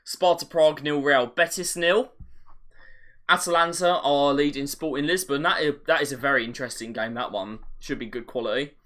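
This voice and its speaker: A man hosting a live watch-along, commentating on a match as a fan, his voice getting a little raspy. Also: bright, forceful tone. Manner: partisan, rises sharply on chances, drops low when play slows.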